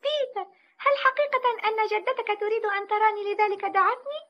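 A woman's voice: very high voice, fairly quick, highs cut off. A woman voicing an eager, sweet little mountain girl in an Arabic cartoon dub, earnest and pleading. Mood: surprised, glad. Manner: earnest, wide-eyed, pleading. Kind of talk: Arabic-dubbed cartoon acting.

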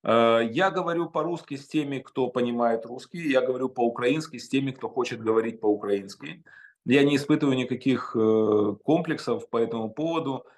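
A man's voice: mid-range voice, normal speed. Man, 50s, from Ukraine, interview guest, video call from home, emphatic, hesitant fillers. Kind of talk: interview answers. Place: home study, indoors.

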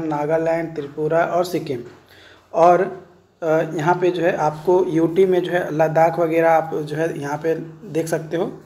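A man's voice: a little high, darkish tone. A man, an online instructor informally explaining a document, often repeating filler phrases and mixing in English terms. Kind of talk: informal explainer of a recruitment notice.

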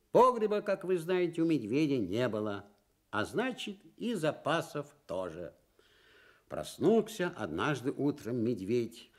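A man narrating a children's fairy tale for radio as an expressive, scripted storyteller. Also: medium pitch.

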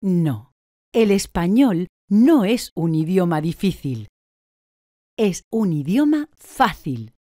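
Slow, mellow woman answering drills. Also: on the low side, very animated, no room sound, studio quality. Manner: slow, deliberate, clearly articulated answers. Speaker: language course speaker.

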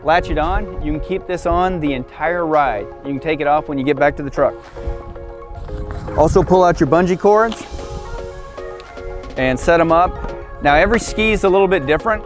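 A man in his forties talks through a hands-on product demonstration. His voice sits a little higher than average, and he gives plain instructions in short, casual phrases. Music plays clearly behind him. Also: normal speed, pauses often.

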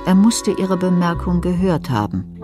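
A woman's voice: on the low side, expressive, a little slow, music in the background. A woman narrating a scripted radio play as a storyteller.

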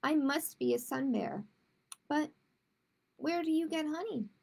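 A woman's voice: high voice, animated, measured pace, vocal fry, darkish tone, exaggerated voice. Woman doing a fretful, earnest teddy bear boy. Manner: earnest, fretful, simple sentences. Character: gentle unsure male teddy bear.

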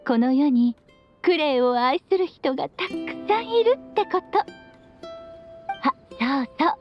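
A doting anime-game witch mother with a high voice. She tells stories tenderly and slips in playful teasing. The sound is slightly muffled, with music underneath. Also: sing-song, unhurried. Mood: wistful, loving, then playful, breezy. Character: playful, loving, long-lived witch mother addressing her child. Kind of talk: Japanese anime game voice acting. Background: background music.

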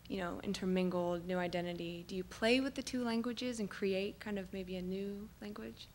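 A woman's voice: medium pitch, normal speed, slight background noise. A woman in the audience asking a long, hedged question from the floor. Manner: rambling, hedged with fillers.

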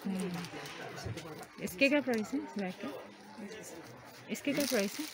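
Woman, shopping vlogger, short questions, mid pitch, noisy market recording. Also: animated, moderate pace. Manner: short questions and repeats the answers. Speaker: vlogger asking prices. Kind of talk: casual shopping vlog. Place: busy indoor market shop. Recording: loud market crowd behind. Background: loud crowd chatter.

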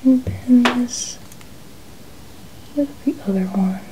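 A young woman whispering softly and breathily in an ASMR styling roleplay, gently narrating small actions as a stylist. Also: fairly high pitch, slow, slight background noise, low-quality recording. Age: young adult. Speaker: ASMR host playing a dress stylist. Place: small indoor studio room. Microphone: small mic clipped at chest.

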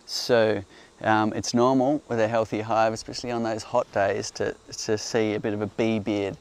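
A man calmly explaining beekeeping outdoors among hives, speaking in a gentle, explanatory way while hosting a live Q&A. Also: on the low side, moderate pace. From Australia. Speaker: beekeeper host. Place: outdoors on a hillside among beehives. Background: faint outdoor ambience and buzzing bees.

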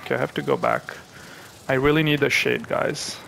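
A young man from Pakistan, hosting a gaming channel, who chats to viewers and thinks aloud as he plays, with excitable reactions. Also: mid-range voice, a little fast, vocal fry, slight background noise. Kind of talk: live gameplay commentary.

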